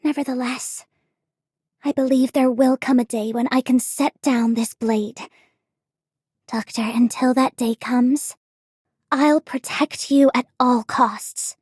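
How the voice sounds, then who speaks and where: High, breathy, solemn swordswoman. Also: steady tone, a little mellow, no echo, clean studio sound, heavily acted. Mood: solemn, devoted. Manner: earnest, measured. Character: solemn, gentle, devoted swordswoman protector. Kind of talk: game character voice lines.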